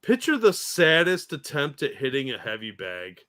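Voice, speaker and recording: Male co-host, bright, tense tone, joking asides, dry close recording. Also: a little high, huge pitch swings, moderate pace, dry recording. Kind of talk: casual livestream chat.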